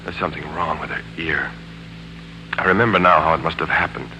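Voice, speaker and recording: An earnest man caught in a bitter divorce, speaking low with urgency and regret. It is 1940s radio drama on a noisy old recording. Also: brisk, bright, forceful tone, exaggerated voice. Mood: regretful.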